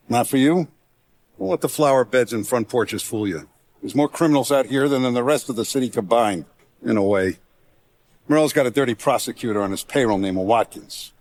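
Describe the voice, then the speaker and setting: An actor playing an older Italian-American mafia boss in a video game, paternal, calculating and ruthless, with measured, streetwise authority. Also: male voice, lowish voice, a little mellow, close to an everyday voice. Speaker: crime boss character. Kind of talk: cinematic video game voice acting.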